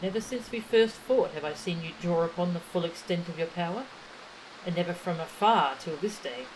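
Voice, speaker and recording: Casting: woman as courteous, admiring warrior companion in a fantasy game, slightly low voice, formal phrasing. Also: moderate pace, slight background noise, lightly acted, poor audio. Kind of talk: reading game dialogue aloud. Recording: game music behind.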